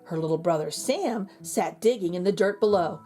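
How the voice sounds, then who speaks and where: A woman's voice: fairly low pitch, lively intonation, moderate pace, dark tone, soft background music. A woman reading a children's storybook aloud as host, narrating expressively for young listeners.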